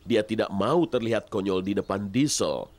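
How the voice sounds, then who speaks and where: A man's voice: fairly low pitch, moderate pace, a little mellow. A man narrating a scripted children's story expressively. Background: music.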